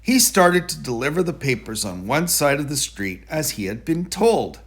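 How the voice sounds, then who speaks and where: A man reading a children's story aloud in an expressive, deliberate storytelling delivery. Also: a little high, husky. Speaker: storybook reader.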